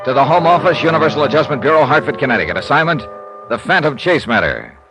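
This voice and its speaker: An American actor playing a world-weary, wry insurance investigator in a 1950s radio drama, speaking tersely. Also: male voice, mid-range voice, expressive, quick pace, lightly acted, low-quality recording. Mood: weary, businesslike. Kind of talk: 1950s radio drama acting, hard-boiled narration. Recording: old radio recording with music.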